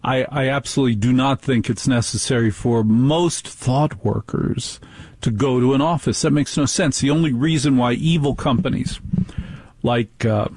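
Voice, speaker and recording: A man's voice: mid-range voice, normal speed, darkish tone, husky, no room sound. A man hosting a radio talk show, ranting in a rambling monologue and mocking others in put-on voices.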